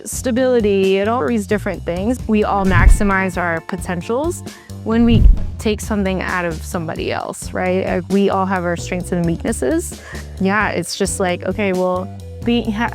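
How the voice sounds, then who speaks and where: A woman's voice: medium pitch, moderate pace, soft background music. A woman in her thirties from the Philippines, a native Tagalog speaker, talking casually as a podcast guest with many "like"s and restarts. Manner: chatty, heavy use of "like", frequent restarts. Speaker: podcast guest, physical therapist. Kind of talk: casual conversation.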